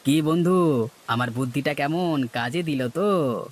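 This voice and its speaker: A man's voice: on the high side, unhurried. Man playing a bossy, scheming cartoon village trader. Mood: smug. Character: scheming, cocky male village market trader. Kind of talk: cartoon dialogue.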